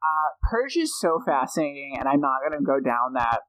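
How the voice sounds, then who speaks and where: A man's voice: a little high, big pitch swings, a little fast, a bit bright, low-quality recording. A man guesting on a podcast, telling a story in a chatty, jokey way and reading quotes aloud. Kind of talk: casual storytelling chat.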